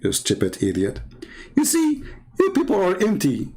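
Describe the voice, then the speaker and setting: A man's voice: high-pitched, wildly swooping pitch, normal speed, darkish tone. A middle-aged man with an Arabic accent, mocking and scornful as he argues with online commenters in combative commentary. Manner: mocking, scornful, sarcastic laughs. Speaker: online debater.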